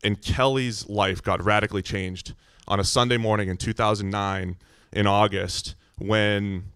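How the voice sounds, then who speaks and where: Middle aged american guy, big booming lowish voice, chatty church testimony, pretty dry sound. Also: fairly even intonation, unhurried, smooth, dry recording. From Massachusetts, United States. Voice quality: loud, booming voice. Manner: informal, conversational storytelling. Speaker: visiting church speaker. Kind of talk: personal testimony to a congregation.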